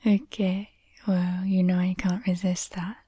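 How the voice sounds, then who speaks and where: Breathy, whispering girlfriend acted by a woman. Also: on the low side, level tone, warm tone, no room sound, clean studio sound. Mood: cozy, chatty. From Australia. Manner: intimate, playful, unhurried. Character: affectionate, teasing, nerdy young Australian girlfriend in bed. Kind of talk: intimate bedtime roleplay ASMR, whispered. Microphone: close microphone, dry.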